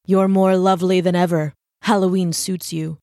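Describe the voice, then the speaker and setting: Woman playing a modest male stonecutter, lowish pitch. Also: level tone, normal speed, darkish tone, dry recording, clean studio sound, natural voice. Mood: bashful courting. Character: plain-spoken, bashful male stonecutter sweet on a lady.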